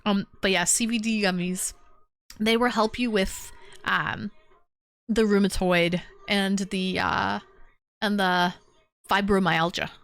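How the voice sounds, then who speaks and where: A woman's voice: medium pitch, fairly even intonation, slow, creaky, long pauses, fairly bright tone, dry recording. A young woman hosting a livestream, chatting casually with viewers and answering their comments, a little stuffy from a lingering cold. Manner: chatty, rambling, talks straight to chat viewers. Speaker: livestream host. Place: home.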